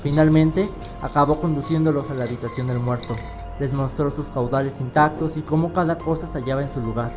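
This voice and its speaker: A man narrating a scripted radio drama in a measured, dramatic storytelling manner. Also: fairly high pitch, steady tone. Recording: clear music behind the voice.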